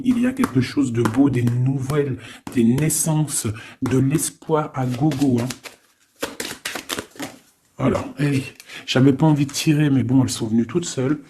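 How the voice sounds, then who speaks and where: A man with a soft-edged, mellow voice at an average pitch reads tarot in a casual, off-the-cuff style. He thinks aloud haltingly and informally, often tagging his phrases with small questions. A light but audible noise sits behind the voice. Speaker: tarot card reader. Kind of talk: casual improvised tarot reading.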